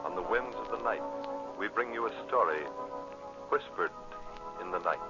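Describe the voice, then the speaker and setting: A man narrating as a radio announcer in solemn, measured, dramatic old-time style. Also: lowish voice. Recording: dull old radio recording with music.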